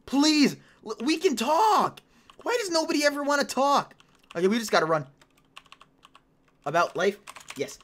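A woman in her late teens hosting casual gameplay commentary, chatty and excitable, rambling between topics, her voice a little hoarse. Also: fairly high pitch. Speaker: gaming channel host.

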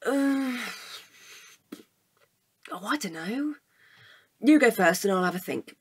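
A woman acting a weary, cautious night-watch guard in a naturalistic audio drama, with a husky, measured, deadpan delivery. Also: on the high side, no room sound, exaggerated voice. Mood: dry, matter-of-fact.

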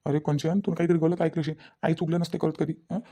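A woman's voice: low-pitched, flat delivery, fast, warm tone. A woman voicing a young husband in a cartoon family drama, firm and disbelieving. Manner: firm, repeats denials. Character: mother-defending young husband, insistent and disbelieving. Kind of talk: Marathi cartoon family comedy-drama acting.

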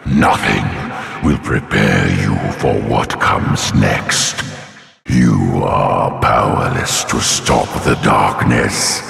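An actor playing a menacing, wrathful immortal dark lord in a fantasy game, with a gravelly, booming voice, imperious threatening delivery and a monstrous reverberant effect. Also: male voice, faint music behind, heavily acted. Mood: ominous, commanding. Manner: slow, imperious, threatening declamation. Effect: deep monstrous reverberant voice processing. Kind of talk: theatrical fantasy game villain acting. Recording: long cavernous reverb, echoing.

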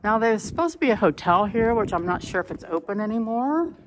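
A woman's voice: medium pitch, lively intonation, brisk, slight background noise, poor audio. A woman narrating a walking tour outdoors, chatting casually and pointing out the sights as she films. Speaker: tour guide. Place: outdoors on old town streets.

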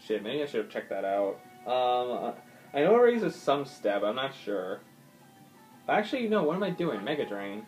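A man's voice: medium pitch, sing-song, normal speed, a little mellow. A man giving casual, swearing gameplay commentary, thinking aloud with lots of ums as he plays. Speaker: gaming YouTuber. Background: faint game music.

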